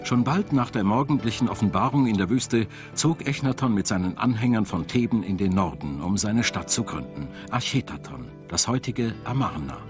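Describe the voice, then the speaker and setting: A man reading scripted documentary narration in a measured storytelling manner. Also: on the low side, animated. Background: background music.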